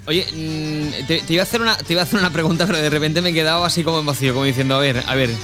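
A man's voice: fairly high pitch, rapid-fire. A man with an accent from Spain hosting a casual radio chat in a jokey, chatty way, often tagging on questions. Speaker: radio show host. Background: music under the voice.

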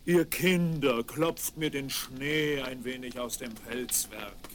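Man playing a very slow, gentle big bear. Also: medium pitch, slight background noise, close to an everyday voice. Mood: gentle, solemn. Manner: drawn-out, solemn, reassuring. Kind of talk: 1970s children's fairy-tale radio play acting.

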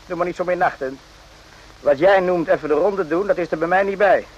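An actor in a radio play voicing a brooding, rambling soldier who muses haltingly and repeats himself. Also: male voice, high voice, dull audio. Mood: chatty, defensive. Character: brooding, rambling soldier, former circus night watchman.

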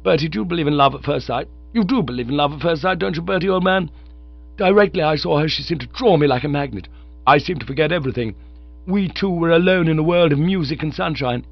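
A man reading aloud while voicing an excitable young gentleman who gushes about falling in love. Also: on the high side, fast, vocal fry, dry recording, pitched above the performer's own voice. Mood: gushing, smitten. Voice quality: gushing, breathless, eager. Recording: dull lo-fi recording.

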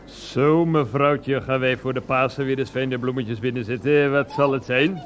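A man's voice: medium pitch, steady tone, normal speed, over music, close to an everyday voice. An actor playing a pushy older male flower seller who talks about himself in the third person, in a radio comedy drama. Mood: wheedling. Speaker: florist.

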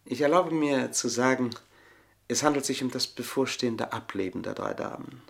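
An actor in a German radio play playing a scheming, gloating manservant with a pompous, mock-deferential, savouring delivery. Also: male voice, medium pitch, normal speed, creaky, lightly acted.

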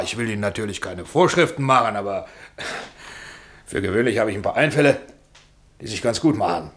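A man plays a Hollywood film boss in a radio play, talking very fast at a middling pitch. He is domineering and patronizing, switching between wheedling and giving orders. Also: lightly acted. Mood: patronizingly smooth. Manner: brisk, bossy, patronizing. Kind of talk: radio drama acting.

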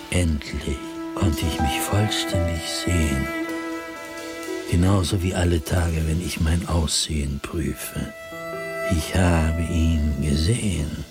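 An actor playing a terrified man descending into madness, delivering a theatrical, fervent diary monologue in a radio play. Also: lowish voice. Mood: haunted, shuddering. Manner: haunted, theatrical, fervent, with dramatic pauses and whispers.

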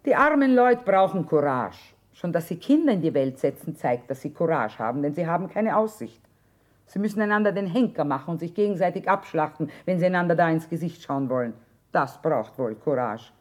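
An actress playing a shrewd, hard-bitten middle-aged war trader in a radio drama, earthy and plain-spoken. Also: female voice, sits low, lively intonation, fairly quick. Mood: bitter, defiant. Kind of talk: stage-style German radio drama acting.

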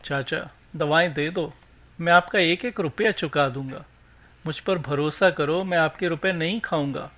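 A man's voice: a little high, unhurried, sharp tone. A man voicing an earnest, desperate young son with a pleading, respectful manner in a story reading.

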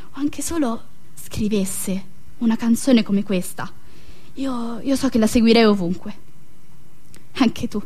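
A woman's voice: a little high, lightly acted. An actress playing a restless, wry married woman in a passionate radio monologue, with a theatrical, confiding manner. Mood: urgent, intimate. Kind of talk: dramatic stream-of-consciousness monologue.

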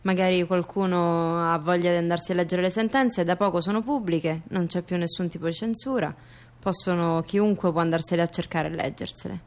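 A woman's voice: on the low side, fairly even intonation, brisk. A woman, a theatre performer, talking casually as an interview guest.

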